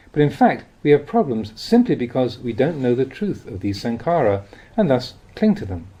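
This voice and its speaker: A man reads a spiritual teaching aloud in a measured, expressive, explanatory way, like an audiobook. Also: mid-range voice, breathy edge. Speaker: reader of Buddhist teachings. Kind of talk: audiobook reading of a spiritual talk.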